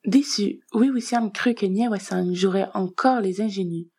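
A woman's voice: medium pitch, moderate pace, warm tone, husky, no echo, studio quality. A woman narrating a scripted story as a reader.

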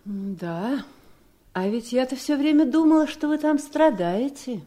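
An actress playing a scheming, coolly polite wife in a radio play, speaking with measured, pointed irony. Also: female voice, high-pitched, lively intonation, mellow. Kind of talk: 1970s Soviet radio play acting.

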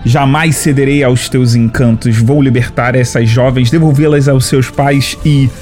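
A man's voice: mid-range voice, steady tone, measured pace, darkish tone, faint music behind, lightly acted. A man playing a brave but bewildered young adventurer in an audiodrama, earnest, heroic and declamatory. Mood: defiant. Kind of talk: audiodrama acting.

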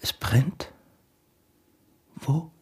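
An actor playing an awkward, smitten, duty-bound border guard in a radio drama, speaking Austrian dialect in a halting murmur. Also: male voice, darkish tone.

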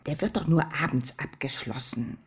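Low, husky, animated secretary. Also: female voice, moderate pace, exaggerated voice. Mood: defensive. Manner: emphatic, self-justifying. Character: defensive, indignant female university secretary. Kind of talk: radio drama acting.

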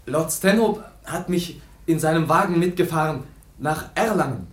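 An actor playing a naive, eager young foundling in a German radio play, speaking in halting, childlike phrases. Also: male voice, on the high side, roomy sound, natural voice.

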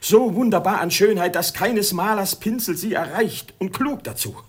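A man's voice: high-pitched, normal speed, slightly rough. An actor playing an anxious, loyal townsman who pleads emotionally with his master in a verse radio play. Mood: urgent warning. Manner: emotional, pleading, swooping delivery. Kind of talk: classical German radio play acting in verse.

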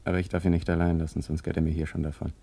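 An actor playing a cynical, remorseful grown son in a brooding monologue from a 1950s German radio drama. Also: male voice, sits low, level tone, racing, gravelly, dark tone, slightly rough, full character voice. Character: cynical, remorseful grown son watching his failing father.

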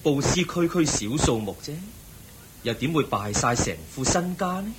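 An actor with a Hong Kong Cantonese accent voicing a calm, patient Buddha who gently teaches. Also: male voice, medium pitch, moderate pace, noisy background, lightly acted. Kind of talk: Cantonese radio drama acting.

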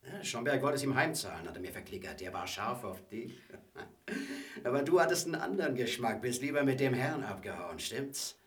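An actor playing a rough, wheedling and cocky British crook in a German radio play, husky and slangy, swinging between hushed coaxing and loud bursts. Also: male voice, mid-range voice, sing-song, normal speed. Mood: cocky, mocking.

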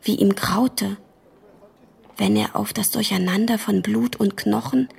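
An actress in a radio drama playing a horrified woman who recounts a grisly tale in a hushed, intense, breathy whisper. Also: medium pitch, steady tone, measured pace, exaggerated voice. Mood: hushed horror.

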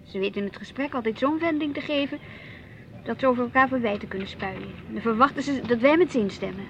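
A woman's voice: on the high side, fast talker, muffled recording, lightly acted. An actress playing an inquisitive, earnest wife and mother in a naturalistic radio play, with a Netherlands Dutch accent. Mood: exasperated. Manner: questioning, earnest. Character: inquisitive, reflective wife and mother, easily piqued at her husband. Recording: noisy outdoor street ambience with traffic.

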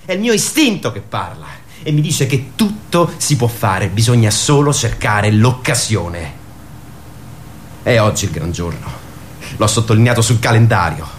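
An actor playing an eager, naive eighteen-year-old lad in a radio drama, rambling in slang and swearing. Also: male voice, medium pitch, slight background noise. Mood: frustrated. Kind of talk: theatrical radio drama acting.

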